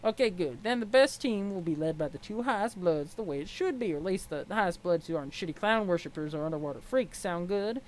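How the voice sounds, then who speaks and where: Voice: medium pitch, expressive, quick pace, hardly any pauses, close to an everyday voice. Someone doing a brash, sassy alien schemer girl, noisy recording. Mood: scheming, breezy. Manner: sassy, sarcastic, slangy and pushy. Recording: clear background noise.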